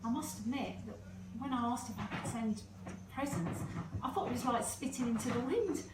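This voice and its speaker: A woman's voice: fairly high pitch, normal speed, very noisy, lightly acted. Woman acting wistful middle-aged mother, distant roomy recording. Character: middle-aged birth mother, wistful, anxious, devoted to her secret daughter. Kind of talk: amateur stage-play acting, naturalistic dialogue.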